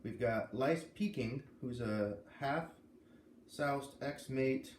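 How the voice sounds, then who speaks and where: A man doing an expressive storybook read-aloud, pausing to explain and comment as he goes. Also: medium pitch. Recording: echoey room with clear background noise.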